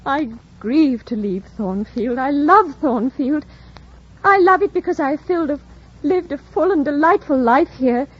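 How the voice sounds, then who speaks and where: Proud lovestruck young british governess, really high voice, formal old radio drama acting, muffled old recording. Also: female voice, a little slow. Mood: grieving. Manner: formal, deferential yet impassioned diction.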